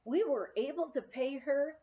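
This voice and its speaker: A woman reading a memoir aloud as scripted narration, in a measured storytelling manner with deliberate pauses. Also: high voice, mellow, muffled recording. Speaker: audiobook reader.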